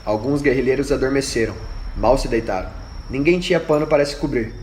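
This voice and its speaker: A man's voice: mid-range voice, brisk, darkish tone. A man reading scripted narration for an amateur radio drama. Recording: echoey room with faint noise.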